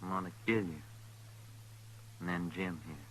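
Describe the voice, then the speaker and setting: A man playing a cold western gunslinger villain in an old radio drama, speaking with deliberate, taunting menace. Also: deep voice, fairly even intonation, fairly bright tone. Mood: cool menace. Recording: faintly noisy old radio recording.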